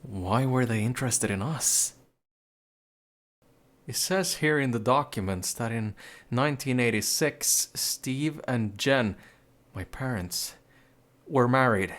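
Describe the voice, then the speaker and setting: A man's voice: mid-range voice, slow pace, long pauses, fairly bright tone. A man voicing a troubled, bitter young man in a grim, brooding game monologue. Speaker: game protagonist.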